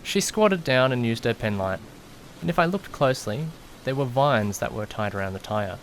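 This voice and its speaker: A man's voice: medium pitch, lively intonation, moderate pace, a little hiss or hum, lightly acted, poor audio. A man playing an anxious, easily panicked young man who narrates his own misadventure in an audio drama, dramatic and questioning himself. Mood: tense narration. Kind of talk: first-person audio drama narration with acted dialogue.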